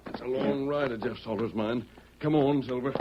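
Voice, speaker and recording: An actor playing a calm, decisive masked lawman in an old radio western, firm and measured. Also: mid-range voice, lightly acted. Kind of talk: 1940s radio western acting. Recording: dull old radio recording, crowd-like noise behind.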